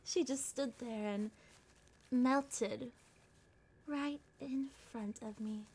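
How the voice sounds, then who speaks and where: Woman playing a slow-talking, haughty vampire girl. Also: on the high side, darkish tone, husky, exaggerated voice. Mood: smug, amused. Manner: condescending, teasing, slow hypnotic murmurs and whispers. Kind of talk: ASMR roleplay.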